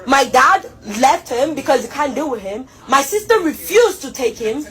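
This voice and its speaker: A young woman talking loudly and venting in an upset, rapid way to police. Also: high-pitched, lively intonation. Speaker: older sister and legal guardian.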